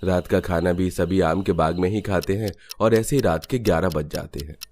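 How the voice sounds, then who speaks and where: Very deep-voiced male story narrator. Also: normal speed, a little mellow. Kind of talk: scripted cartoon story narration.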